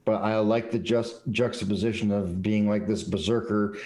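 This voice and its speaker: Low-voiced hesitant young man. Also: steady tone, normal speed, a little mellow. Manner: hesitant, many fillers and restarts. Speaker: player. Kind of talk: casual chat about a tabletop character.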